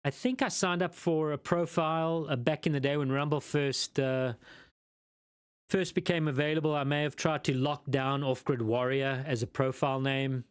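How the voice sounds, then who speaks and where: South African male host, smooth resonant voice, rambling and self-deprecating live chat. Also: on the high side, fairly even intonation, normal speed, dry recording. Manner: rambling, self-deprecating, lots of ums and restarts. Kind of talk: casual live chat reading viewer comments.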